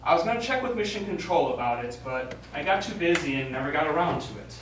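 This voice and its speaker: A frank, probing mission commander played by a male stage actor, with a slightly high, very animated voice. The live play dialogue comes from far off in a heavily echoing space. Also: fast, natural voice. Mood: probing, wary. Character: blunt male space mission commander questioning his crewman. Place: small theater studio, recorded from audience distance. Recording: echoey hall, distant mic, faint noise.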